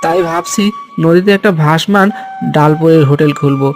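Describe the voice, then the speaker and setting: A man plays an earnest, humble village food seller in a cartoon, speaking. His voice sits fairly high for a man and carries hope, with music behind it. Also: brisk, lightly acted. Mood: earnest, determined. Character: humble, hopeful villager who runs a food stall. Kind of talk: Bengali cartoon voice acting.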